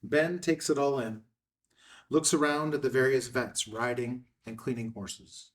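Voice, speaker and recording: Mid-pitched man reading stage directions. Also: fairly even intonation, a little slow, recorded from a distance. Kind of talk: scripted narration, screenplay table read.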